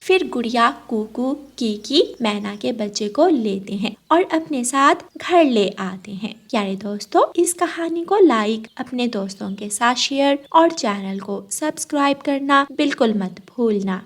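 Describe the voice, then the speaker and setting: A woman with a very high voice narrates a children's story. She reads scripted lines as the storyteller of a cartoon. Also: expressive, a little slow, hardly any pauses.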